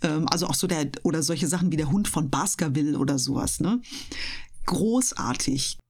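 Low-pitched woman in casual interview. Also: moderate pace, darkish tone, slight background noise. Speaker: interview guest, voice actress and streamer. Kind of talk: casual radio interview chat.

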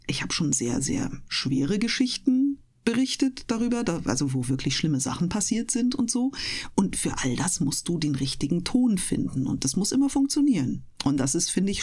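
Husky, lower-voiced woman in casual conversation. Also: big pitch swings, normal speed, darkish tone. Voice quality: trained, clearly articulated speech. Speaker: host of an audio channel and interview guest, professional speaker. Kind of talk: casual interview chat.

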